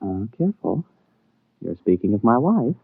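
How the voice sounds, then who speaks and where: A man's voice: fairly low pitch, very animated, moderate pace, creaky, soft background music. An actor playing a plain-spoken, wry rocket pilot captain, a somewhat flustered everyman hero in a 1950s radio drama. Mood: casual. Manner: hesitant, casual, wry. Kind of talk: 1950s radio drama acting with first-person narration. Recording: muffled, narrow 1950s radio broadcast.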